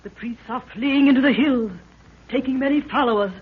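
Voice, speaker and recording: A woman's voice: high-pitched, brisk, husky. An actress playing a proud, solemn queen of a lost people in a 1930s radio adventure, with archaic, declamatory speech. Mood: solemn, urgent. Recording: dull, noisy 1930s radio recording.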